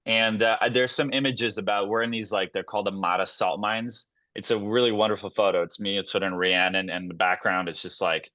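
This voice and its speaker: A young man, a guest actor on a podcast, telling stories in a relaxed, casual way with many fillers and restarts. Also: lowish voice, a little fast, hardly any pauses, no room sound.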